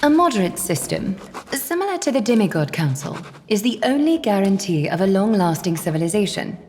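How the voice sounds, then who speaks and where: A woman plays a genial hostess in a game, articulate and musing. Her mid-pitched voice moves lively up and down. Long reverb and soft music sit behind. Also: fairly quick, slight background noise, close to an everyday voice. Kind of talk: game voice acting.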